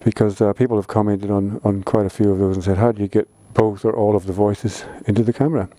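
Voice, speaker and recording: A man's voice: lowish voice, flat delivery, fast, warm tone, no room sound. A man giving a casual how-to explanation for a vlog in an informal, plain-spoken way. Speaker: vlogger.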